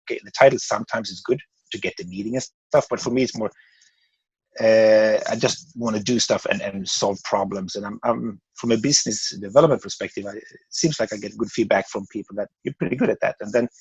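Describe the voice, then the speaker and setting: A man's voice: fairly low pitch, a little fast, darkish tone, slightly rough, no echo, lo-fi sound. A man in his forties from Sweden with a Swedish accent, a podcast guest talking casually and rambling with many restarts. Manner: rambling, restarts words often. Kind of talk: casual interview chat.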